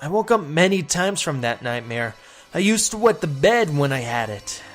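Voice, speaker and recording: Uneasy man played by a male reader, slightly high voice, dramatic horror reading of forum memories, music bed. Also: big pitch swings, normal speed. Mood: haunted. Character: uneasy man recalling a creepy childhood puppet show.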